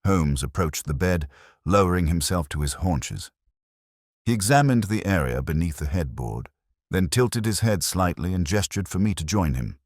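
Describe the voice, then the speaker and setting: A very deep-voiced man narrates a period detective tale. His pitch moves expressively through each line, and the sound is dry, with little room in it. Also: animated, normal speed, dry recording. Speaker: audiobook narrator. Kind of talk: scripted dramatic narration of a Victorian detective story.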